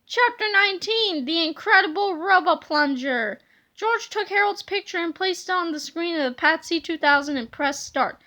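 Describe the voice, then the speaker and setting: A woman narrating a children's book aloud in an expressive, dramatic way. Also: very high voice, moderate pace.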